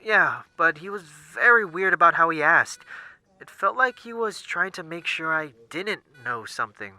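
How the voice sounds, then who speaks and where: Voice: medium pitch, expressive, moderate pace, sharp tone. A performer playing a nervous, polite lab technician on a phone call in an audio drama, hesitant and apologetic. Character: nervous, eager, deferential young lab technician.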